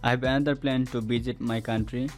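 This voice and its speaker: Even-toned young man narrating. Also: medium pitch, quick pace. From Bangladesh. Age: teens to young adult. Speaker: student narrator. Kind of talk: first-person scripted narration. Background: soft instrumental music.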